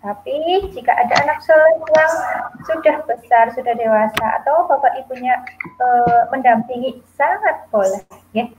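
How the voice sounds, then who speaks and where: A woman's voice: high voice, moderate pace, muffled recording, a little hiss or hum. A woman kindergarten teacher from Central Java, cheerfully opening an online lesson for young children. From Central Java, Indonesia. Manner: cheerful, addresses children directly. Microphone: video call.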